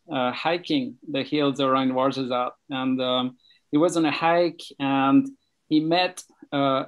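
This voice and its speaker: A man with a Swiss accent, speaking over a video call about his charity work, slow and halting with many pauses. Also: a little high, rough recording. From Switzerland. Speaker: charity treasurer, guest speaker. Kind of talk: casual talk on a video call. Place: home near Belfast.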